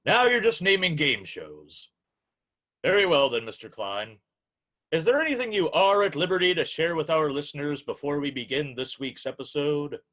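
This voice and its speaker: A man's voice: fairly high pitch, big pitch swings, moderate pace, a bit bright, rough recording. A man playing a formal, courteous, easily flustered host of an old-time radio show, with theatrical delivery. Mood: flustered, exasperated.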